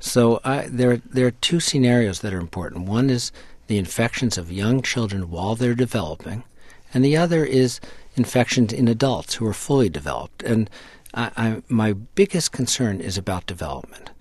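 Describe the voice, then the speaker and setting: A scientist being interviewed who explains in a measured way, often repeating words as he thinks. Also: mid-range voice, lively intonation, a little mellow, no echo. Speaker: scientist guest. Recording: dry close-mic studio sound.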